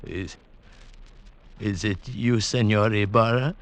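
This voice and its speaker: An actor playing a humble, weary mountain guide who survived, speaking in a solemn, halting old radio drama manner. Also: male voice, on the low side, fairly even intonation, a little hiss or hum. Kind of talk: 1940s radio acting.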